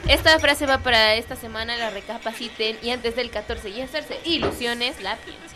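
Locutora mexicana leyendo frases, tono burlón. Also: female voice, a little high, normal speed. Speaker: radio co-host. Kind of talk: reading quotes on air. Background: music.

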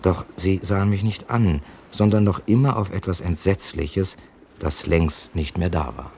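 A deep-voiced, reflective crime witness. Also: male voice, a little mellow. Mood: reflective, uneasy. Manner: deliberate, literary, earnest. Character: reflective male hotel guest, narrator and witness. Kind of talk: German radio crime play narration and dialogue. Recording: slightly hissy old radio recording.

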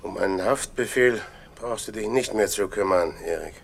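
A man playing a composed, shrewd investigator who speaks in a measured, matter-of-fact way in a German radio play. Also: lowish voice, vocal fry. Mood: calm, matter-of-fact. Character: composed, shrewd male investigator, friend of the inspector.

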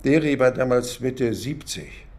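An actor playing an old former radio writer, musing haltingly and wryly in a reflective radio play monologue. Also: male voice, medium pitch, darkish tone, natural voice. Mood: wistful, musing. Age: older. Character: old former radio writer sorting his papers, wistful and wry.

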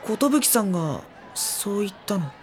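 A man playing an introspective, gentle high-school boy who narrates his troubles in an audio drama. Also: big pitch swings, unhurried. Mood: subdued, polite. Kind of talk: Japanese drama CD acting, monologue and dialogue. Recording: studio drama with prominent music underneath. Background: prominent instrumental music.

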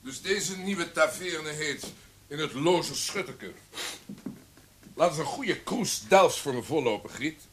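A man's voice: fairly high pitch, expressive, slow pace, a bit bright, slight background noise. A man in a Dutch radio play voicing a charming, hot-tempered poet and flirt, with a theatrical, teasing, florid way of talking. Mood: flirtatious, teasing.

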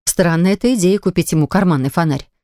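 A woman's voice: fairly low pitch, fast talker, warm tone, dry recording, clean studio sound, voice pushed down, close to an everyday voice. A woman doing a weary, scolding mother. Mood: reproachful. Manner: sighing, reproachful. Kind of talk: audiobook character reading.

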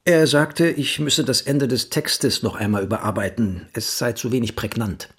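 An actor playing an easygoing, evasive writer in an audio drama, with a casual, naturalistic delivery. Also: male voice, mid-range voice, normal speed, fairly bright tone, breathy edge. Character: easygoing, evasive male writer, live-in boyfriend.

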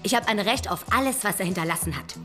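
A woman's voice: mid-range voice, animated, fast talker, bright, forceful tone, soft background music. An actress playing a proud, indignant young dance girl, brassy and insistent, in a crime radio play. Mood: demanding. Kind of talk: hard-boiled radio crime drama acting.

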